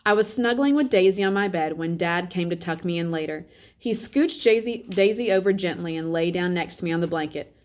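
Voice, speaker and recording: A woman's voice: fairly low pitch, a little fast. A woman teacher reading a children's novel aloud as a narrator. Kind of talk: storybook read-aloud narration.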